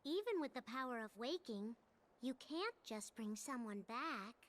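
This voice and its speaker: A woman voicing a small, cute cat-like spirit guide in a video game, earnest, gentle and cautioning. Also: a little high, expressive, unhurried. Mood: concerned. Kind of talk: video game cutscene voice acting.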